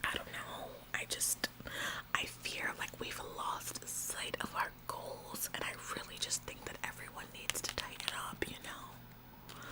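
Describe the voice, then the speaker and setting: A woman's voice: natural voice, poor audio. A woman playing a bossy, self-important young retail employee in an ASMR roleplay, earnest and stammering over repeated words.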